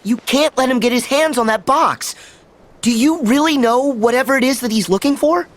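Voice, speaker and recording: High-pitched, earnest teenage boy hero actor's voice. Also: moderate pace, close to an everyday voice. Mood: urgent, worried. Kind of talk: video game cutscene acting.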